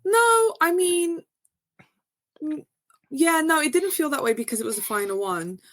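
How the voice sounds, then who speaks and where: A woman's voice: measured pace, no echo. A woman from Portugal talking as a guest novelist in a casual interview, chatty and hesitant with many fillers and restarts. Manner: rises high and animated when answering.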